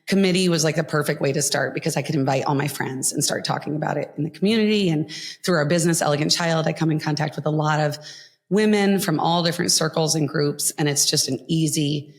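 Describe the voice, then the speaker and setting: A woman in her thirties talks as a podcast guest in a low, earnest voice. She sounds heartfelt and unscripted, pausing with ums as she gathers her thoughts. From Florida. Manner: earnest, heartfelt, with frequent fillers. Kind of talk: casual interview talk.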